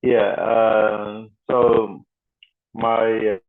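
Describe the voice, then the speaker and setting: A man's voice: fairly low pitch, flat delivery, drawn-out words. A man from Timor-Leste giving a presentation over a video call, talking hesitantly with many fillers. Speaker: guest speaker. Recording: tinny phone-like video call audio.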